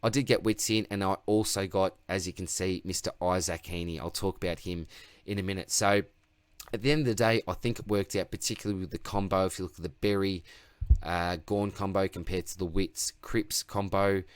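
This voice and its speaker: A man's voice: low voice, fairly even intonation, brisk, clear, resonant tone, dry recording. An Australian man hosting his own sports channel, reviewing his fantasy team in a chatty, rambling, self-mocking way, his voice tiring. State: voice getting tired. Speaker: fantasy football channel host. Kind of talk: casual solo sports review.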